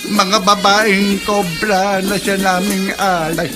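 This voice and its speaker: A Filipino cult priest from a horror radio play, high in pitch and very slow. He intones his worship of a cobra goddess with reverence, over loud music. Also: little pitch movement. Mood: solemn, reverent. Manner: solemn, incantatory.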